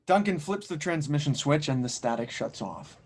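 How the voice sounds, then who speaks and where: A man's voice: a little high, expressive, moderate pace. A man reading scene directions as the narrator of an audio drama table read. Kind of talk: scripted narration.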